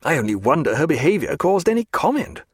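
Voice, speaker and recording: Audiobook role: excitable scholarly birdwatcher at sea, pitched high, very animated, pompous, quick to outrage, performed by a male reader. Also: breathy edge, dry recording, clean studio sound. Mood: indignant. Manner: exclamatory, formal old-fashioned speech, pompous. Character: excitable, learned ship's man, keen birdwatcher, easily outraged.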